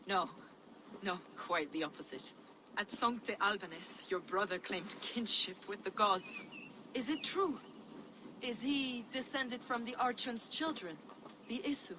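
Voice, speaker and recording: An actress playing a learned, zealous medieval mystic, measured and preacherly, in a dramatic video game. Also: female voice, medium pitch, noisy background, natural voice. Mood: fervent.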